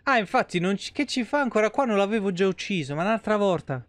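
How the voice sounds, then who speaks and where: A man's voice: high voice, animated, fast, a bit bright, dry recording. A young man from Italy doing casual live gameplay commentary, playful and foul-mouthed. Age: young adult. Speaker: live game streamer.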